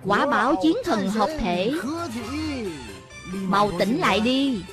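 A woman reading all the characters' lines as a voice-over dub of an animated film. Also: mid-range voice, takes it slowly. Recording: film music under the voice. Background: film soundtrack music.

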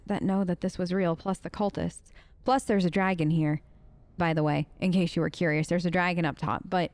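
A woman hosting a casual role-play game video, expressive and chatty as she talks to the characters. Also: mid-range voice, no room sound. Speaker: gaming video host. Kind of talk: in-character playthrough commentary, casual.

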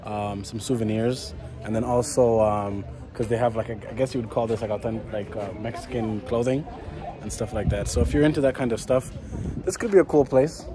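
A man's voice: mid-range voice, fairly even intonation, brisk, music in the background. A young man in his twenties talking casually to a handheld camera while walking through a city, rambling with fillers and restarts. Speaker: travel vlogger. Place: busy city street outdoors. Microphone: handheld camera at arm's length. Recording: street noise and traffic. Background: city traffic.

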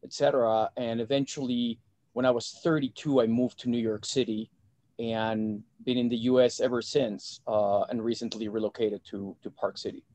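A man's voice: medium pitch, normal speed. A middle-aged man from Mexico, a guest talking casually about his life over a video call from a home office. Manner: relaxed, chatty, frequent restarts. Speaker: guest, investment bank founder. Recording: dry video call audio.

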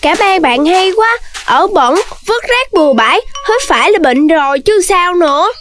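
A woman voicing an excitable little dalmatian puppy boy in a children's cartoon, childlike and expressive. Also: very high-pitched, normal speed. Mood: bossy, annoyed. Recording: loud music behind the voice.

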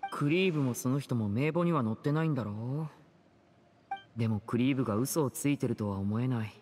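Young male stage magician for anime game, man acting: kind, composed leader, earnest and measured, reverberant. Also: medium pitch, a little mellow, slight background noise, lightly acted. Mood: gentle, thoughtful.

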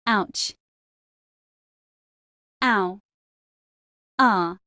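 A woman's voice: mid-range voice, extremely slow, pushed and bright, no room sound, studio quality. A woman voicing a reluctant, whiny young girl in a game roleplay, in short, simple replies. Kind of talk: scripted game roleplay dialogue.

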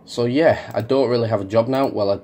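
A young man with a Yorkshire accent, croaky from being ill, giving a hesitant, rambling vlog update full of ums. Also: medium pitch, brisk, dark tone. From Yorkshire, England. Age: young adult. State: croaky from illness. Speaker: farming YouTuber.

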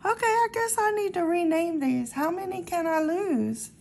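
A woman hosting a scratch-off ticket vlog, calmly reading out numbers one by one as she scratches. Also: very high-pitched, normal speed, a little hiss or hum. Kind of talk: casual talk while scratching lottery tickets.

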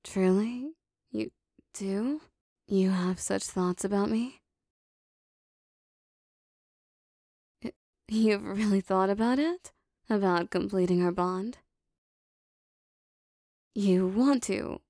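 Casting: actress as possessive, adoring demoness for intimate roleplay audio. Soft, warm dark tone, very close dry recording, formal diction. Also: female voice, mid-range voice, dry recording. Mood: flustered eager. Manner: soft, breathy, formal archaic phrasing, lingering pauses. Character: lovestruck possessive female demon bound to a sorcerer.

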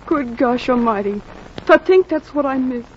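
An excitable young bride in an old radio play. Her high voice swoops melodramatically as she exclaims, on a muffled vintage recording. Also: moderate pace, a little hiss or hum. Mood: awed, gushing. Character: excitable, starstruck young bride listening to a baseball yarn. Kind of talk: 1940s radio drama acting.

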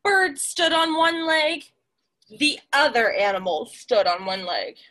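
A woman's voice: high voice, takes it slowly, fairly bright tone, low-quality recording. A woman in her twenties reading a picture book aloud to young children, expressively and with questions for them.

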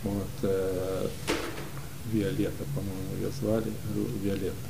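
An older man from Ukraine, telling stories as a guest in an interview, unhurried and full of pauses, recorded in a library studio. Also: low voice, monotone, vocal fry, background noise. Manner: unhurried, many pauses and hesitations. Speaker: guest, community leader. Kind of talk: relaxed storytelling in an interview.

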